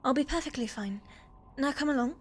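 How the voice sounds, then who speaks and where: Woman acting a shy, high-voiced witch's apprentice. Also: fairly quick, lightly acted. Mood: apologetic. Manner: polite, hesitant, earnest, eager to please. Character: shy, eager, sweet young female witch's apprentice. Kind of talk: cinematic ASMR fantasy roleplay.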